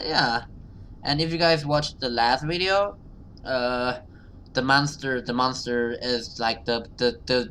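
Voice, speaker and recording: Man, casual game streamer, bright forceful voice, halting and repetitive, slightly noisy recording. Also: medium pitch. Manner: halting, repeats himself, exclaims and swears. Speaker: gaming video host. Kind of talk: casual let's play commentary, reading game text aloud.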